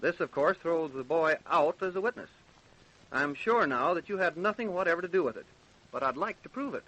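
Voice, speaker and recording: An American actor, a man, playing an even-tempered, probing coroner in an old-time radio drama, speaking in a deliberate, official way. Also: a little high, low-quality recording. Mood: calm, persuasive. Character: probing, even-tempered male small-town coroner investigating deaths.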